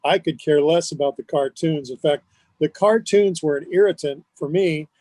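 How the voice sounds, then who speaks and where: A man's voice: on the high side, normal speed, warm tone, no room sound. A man, a radio personality, chatting as an interview guest in an enthusiastic, emphatic way. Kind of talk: casual conversational reminiscence.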